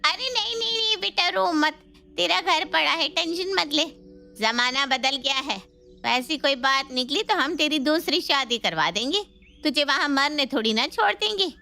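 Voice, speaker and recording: Bright-voiced protective mother, acted by a woman. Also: sits high, moderate pace, creaky, heavily acted. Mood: consoling, indignant. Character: protective, indignant middle-aged Indian mother of bride. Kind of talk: scripted Hindi family story dialogue.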